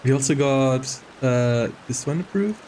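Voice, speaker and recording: A man's voice: mid-range voice, expressive, a little slow, mellow, slight background noise, low-quality recording. A man chatting casually as a game stream host, rambling and hesitant, prone to giggling. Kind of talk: casual livestream chat.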